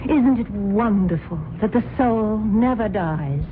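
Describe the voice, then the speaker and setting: An actress playing a wealthy wife who gushes about a cult, with a breathy, gushing manner, in an old radio drama. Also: female voice, mid-range voice, lively intonation, takes it slowly, slight background noise. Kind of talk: 1970s radio drama acting. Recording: phone-like old radio broadcast with music.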